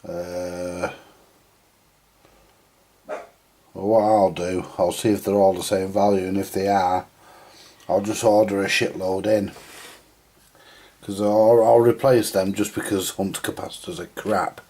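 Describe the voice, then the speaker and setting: Male repair vlogger, low-pitched husky voice, muttered informal workshop commentary. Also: flat delivery, unhurried, long pauses, mellow. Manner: muttering to himself, informal, mildly sweary. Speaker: host of a vintage radio repair video. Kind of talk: unscripted hands-on workshop commentary.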